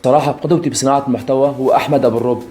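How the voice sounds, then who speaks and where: Male vlogger, 20s, Syrian accent, casual address to followers, mid-pitched, extremely quick delivery. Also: fairly even intonation, warm tone. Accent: Syrian Arabic. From Aleppo, Syria. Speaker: YouTube content creator. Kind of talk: casual vlog answering follower questions.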